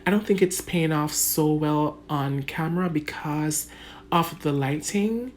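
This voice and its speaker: Low-voiced Jamaican woman narrating makeup. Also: measured pace, a little hiss or hum. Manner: chatty, addresses viewers as guys, laughs often. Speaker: beauty vlogger. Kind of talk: casual makeup tutorial narration. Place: home room under bright lights.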